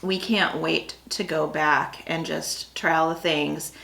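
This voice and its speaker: A woman's voice: low voice, steady tone, takes it slowly, vocal fry, fairly bright tone. A woman, co-hosting a snack review and chatting casually in a rambling way. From Midwestern United States. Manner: chatty and rambling, with fillers and asides. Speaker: food review channel co-host. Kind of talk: casual chat while tasting snacks. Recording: echoey room.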